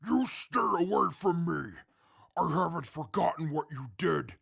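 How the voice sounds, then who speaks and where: An actor playing a wary, resentful mutant in a post-apocalyptic audio drama, with a gravelly, emphatic voice. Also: male voice, on the high side, lively intonation, measured pace, fairly bright tone, heavily acted. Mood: hostile. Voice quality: gravelly, husky. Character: wary, resentful male super mutant of the wasteland.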